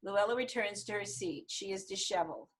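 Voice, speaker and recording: A woman's voice: medium pitch, fairly quick, rough recording. A woman narrating stage directions from a script at a table reading.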